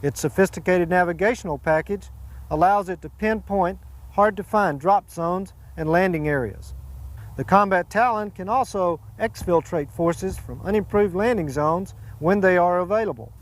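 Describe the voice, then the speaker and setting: A man's voice: sits high, normal speed. An American man giving a formal scripted instructional presentation as an air force presenter, carefully reading out lists and designations.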